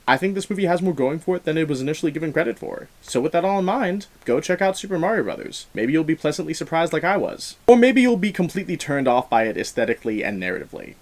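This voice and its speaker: A man hosting a film review show, talking casually and enthusiastically to viewers and sharing his opinions in a chatty way. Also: on the high side.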